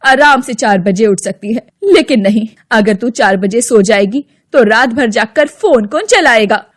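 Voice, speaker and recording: A woman doing a sharp-tongued stern mother-in-law. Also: on the high side, animated, unhurried, voice pushed down. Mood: sarcastic. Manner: scolding, sarcastic.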